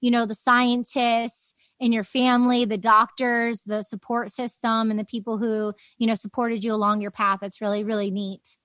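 A woman's voice: on the high side, little pitch movement, normal speed, few pauses, a bit bright, no echo. A woman hosting a casual conversational podcast interview, speaking in an effusive, encouraging way. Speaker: podcast host.

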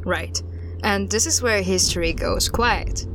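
A woman playing a guarded investigator in an audio drama, recounting an unsettling case in a halting, deliberate way. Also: mid-range voice, noisy background, natural voice. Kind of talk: audio drama dialogue, storytelling.

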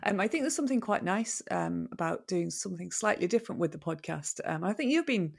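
A woman from Manchester hosting a podcast, talking gently and hesitantly with frequent ums. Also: fairly low pitch, sing-song, fairly quick, vocal fry, slightly rough. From Manchester, England. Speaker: podcast host. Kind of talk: casual chat.

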